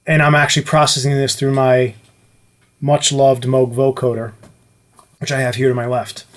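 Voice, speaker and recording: A man's voice: mid-range voice, little pitch movement, moderate pace. Casual man explaining studio gear. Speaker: music producer demonstrating gear. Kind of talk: casual explanatory tutorial talk.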